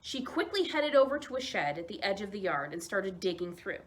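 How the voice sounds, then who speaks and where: A woman telling children's stories aloud as a library storyteller, expressive, with dramatic emphasis and pauses. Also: medium pitch, a little hiss or hum.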